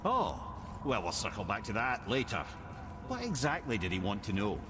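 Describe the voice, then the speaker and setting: Lively, low-voiced old storyteller. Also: male voice, moderate pace, very noisy. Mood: wry, curious. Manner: eloquent, wry, dramatic storytelling. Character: wise, witty old male storyteller and lore keeper. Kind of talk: cinematic video game dialogue.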